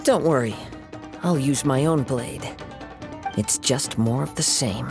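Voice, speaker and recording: A young wandering samurai speaks in a calm, measured, gentle voice. His pitch sits in an easy middle range, wise and unhurried. Soft game music plays faintly behind him. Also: creaky. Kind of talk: video game voice acting.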